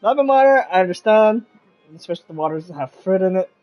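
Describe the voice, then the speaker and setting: A woman's voice: mid-range voice, expressive, fast. A woman giving casual, chatty, playful live commentary as she streams a game. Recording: dull, lo-fi mic. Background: game music.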